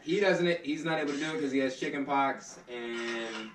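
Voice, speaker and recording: A man's voice: mid-range voice, normal speed, a bit bright. Casting: playful podcast co-host, a man heard from a distance in a roomy space. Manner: playful, rambling, laughs often. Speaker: podcast co-host, band member. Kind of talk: casual banter among friends. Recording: roomy, distant mic with faint hiss.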